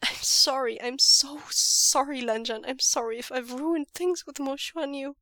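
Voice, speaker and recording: A woman's voice: a little high, fairly even intonation, a little slow, sharp tone. A woman voicing a remorseful young man who stammers and chokes up, his voice cracking as he apologizes. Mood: pleading, breaking.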